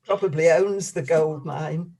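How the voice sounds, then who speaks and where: A woman chatting casually with friends in a gently amused way. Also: sits low, normal speed, vocal fry. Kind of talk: casual chat.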